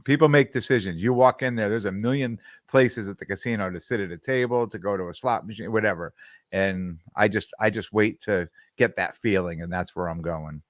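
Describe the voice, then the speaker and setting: A man's voice: fairly low pitch, brisk, no echo, studio quality. A middle-aged man co-hosting a casual podcast, rambling and repeating words as he tells stories. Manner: rambling, repeats words while searching for them. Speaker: podcast co-host. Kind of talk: casual chat.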